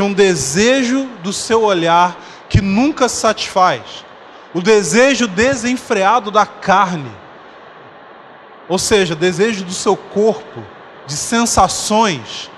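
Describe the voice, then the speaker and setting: A man in his thirties preaching a conference sermon on a dark church stage with a handheld mic, conversational, explaining slowly with humorous asides. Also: sits high. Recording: long hall reverb, faint noise.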